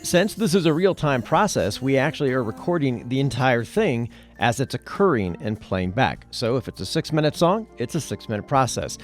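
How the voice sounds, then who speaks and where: A man's voice: mid-range voice, sing-song, normal speed, few pauses, faint music behind. A man presenting an audio production tutorial, explaining things step by step. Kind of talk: tutorial narration.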